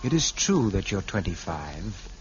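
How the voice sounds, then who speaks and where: An actor playing Tomorrow personified, an all-knowing, calm male guide who speaks in measured, poetic phrases in an old-time radio drama. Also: low-pitched. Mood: grave, insistent. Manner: measured, solemn, poetic narration of visions. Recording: muffled vintage radio recording with music behind.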